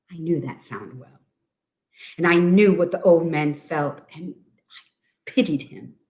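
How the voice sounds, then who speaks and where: A cunning, unhinged killer confesses with relish , played by a woman in a dramatic reading. The voice sits fairly low and moves very slowly, lingering on halting phrases and then leaning hard on a word. It is theatrical gothic horror storytelling, insisting on its own sanity. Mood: hushed menace. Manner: deliberate, halting, hushed phrases with sudden emphasis and chuckles.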